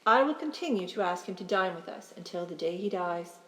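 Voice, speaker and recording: A woman playing a miser's kindly, forgiving niece in a storybook drama, speaking in a measured, theatrical way. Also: on the low side, expressive, fairly bright tone. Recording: very echoey hall reverb.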